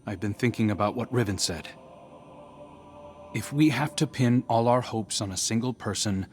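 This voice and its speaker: Male actor as resolute game warrior seeking redemption: lowish voice, sincere and measured, light music underneath. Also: darkish tone, natural voice. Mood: earnest, determined. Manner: earnest, measured, pleading. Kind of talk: scripted game dialogue.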